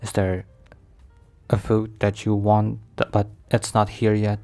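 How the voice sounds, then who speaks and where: Low-voiced, formal mafia boss boyfriend played by a man. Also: monotone, a little mellow. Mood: concerned, gentle. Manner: measured, formal, halting, stilted phrasing. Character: young male mafia boss, overprotective, formal, attentive boyfriend. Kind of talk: ASMR boyfriend roleplay.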